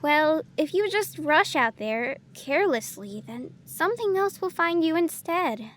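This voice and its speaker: A woman's voice: sits high, animated, unhurried, a bit bright. A woman playing a young cave lioness, a protective and cautious wilderness guide in an audio drama. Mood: stern, cautioning.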